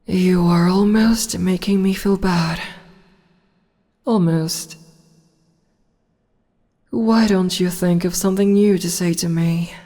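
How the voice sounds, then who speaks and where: A regal demon empress, played by a woman, purrs her taunts in a low, mellow voice with the odd whisper. She speaks very slowly and lingers on each word. Her voice trails off into a long reverb. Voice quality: soft, slow and teasing, with whispered asides. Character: teasing female demon empress, regal and amused. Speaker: voice actor. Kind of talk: ASMR audio roleplay.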